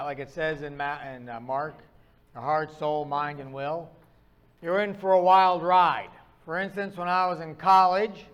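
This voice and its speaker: Middle-aged pastor, northern US accent, high tenor, wry self-mocking storyteller. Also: male voice, a bit bright. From Upper Midwest of the US. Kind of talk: sermon.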